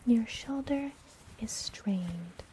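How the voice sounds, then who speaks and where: Woman acting a friendly forest witch, soft whisper, gently teasing, very slow delivery, ASMR fantasy roleplay, faint ambient noise. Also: fairly high pitch, expressive, poor audio. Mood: playful, soothing.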